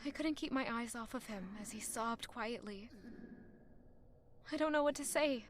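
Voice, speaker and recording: A troubled young woman narrates her worries about the man she loves, as an actress plays her. Her voice is high and inward, with anxious, searching phrasing. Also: normal speed, close to an everyday voice.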